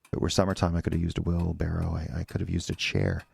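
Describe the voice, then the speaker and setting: A gravelly, stammering husband confessing murder. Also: male voice, deep voice, little pitch movement, darkish tone, no room sound, full character voice. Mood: weary, subdued. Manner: halting, stammering, rambling. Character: agitated, defensive husband confessing to killing his wife. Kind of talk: audio drama monologue.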